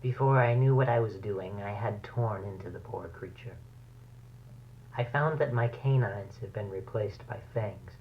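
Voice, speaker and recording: An actor playing an anxious scientist slowly turning into a bat creature, recording a horror audio diary in a measured, brooding, halting way. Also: male voice, fairly low pitch, natural voice. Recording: dull, humming, noisy tape recording.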